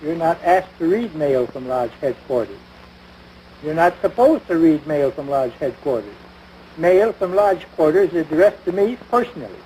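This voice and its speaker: A man's voice: on the high side, big pitch swings, normal speed, mellow. An actor playing a pompous, long-suffering American husband and father in a domestic comedy, speaking formally with dry sarcasm. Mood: testy. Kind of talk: domestic radio-style situation comedy. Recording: noisy vintage broadcast recording.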